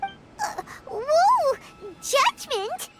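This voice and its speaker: A woman voicing a tiny, childlike, chirpy and excitable fairy companion in an anime game. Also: very high-pitched, very slow, full character voice. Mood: startled, nervous. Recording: music behind.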